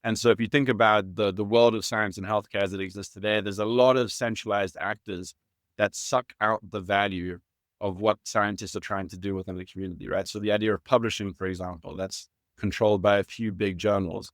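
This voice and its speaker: A man in his thirties from South Africa with a South African accent, explaining his work at a measured pace as an interview guest, with some fillers and restarts. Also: on the low side, steady tone, dry recording, clean studio sound. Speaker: guest, startup founder. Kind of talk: conversational interview answers.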